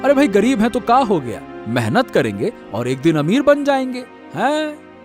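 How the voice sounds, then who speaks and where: A man's voice: high voice, wildly swooping pitch, moderate pace, over music. A man voicing a poor, honest village labourer and devoted father in a cartoon, earnest and repetitive in his speech. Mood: hopeful, caring. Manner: stammering, pleading, repeats words. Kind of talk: Hindi moral-story cartoon voice acting.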